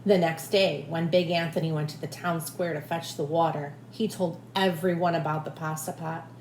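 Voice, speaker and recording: Slightly low-voiced woman reading aloud, echoey room. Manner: expressive, deliberate phrasing for children. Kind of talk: storybook read-aloud narration. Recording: echoey room, faint background noise.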